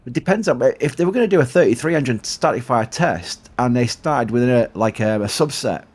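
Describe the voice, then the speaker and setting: A man's voice: medium pitch, expressive, brisk, a little mellow, breathy edge. A man with a Yorkshire accent hosting a casual live commentary stream, chatty, laughing often and reading out viewer questions. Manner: chatty, laughs often, thanks supporters, restarts a lot. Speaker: livestream host.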